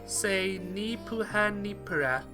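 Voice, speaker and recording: A man voicing a gentle, earnest young girl in a visual novel, tender and brave. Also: high voice, expressive, takes it slowly, music in the background, pitched above the performer's own voice.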